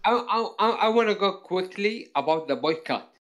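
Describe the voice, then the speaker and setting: A man's voice: high voice, animated, muffled recording. A man whose first language is Arabic, talking casually on a live stream and often stopping to find his words. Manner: halting, repeats words while searching for phrasing. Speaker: guest player.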